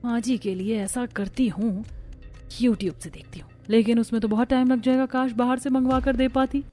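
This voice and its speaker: A sly, scheming young bride in a cartoon family tale, played by a woman. Her voice is fairly high and she often mutters her plans to herself, over a music bed. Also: fairly even intonation, moderate pace, warm tone, natural voice. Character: scheming young new bride, secretly lazy. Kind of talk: Hindi cartoon story dialogue. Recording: music behind the voice.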